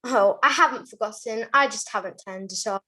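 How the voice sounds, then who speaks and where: A woman's voice: a little high, brisk, sharp tone. A woman voicing a vain, theatrical diva puppet in a comedy show, with an affected, dramatic manner. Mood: breezy vanity. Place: video call.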